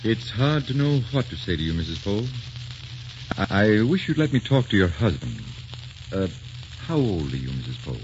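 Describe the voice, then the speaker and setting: A man's voice: fairly low pitch, sing-song, moderate pace, music in the background. An actor playing a kindly, grave older doctor in a 1940s radio drama, hesitant and fatherly. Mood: grave, gentle. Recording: dull, noisy vintage 1940s radio recording.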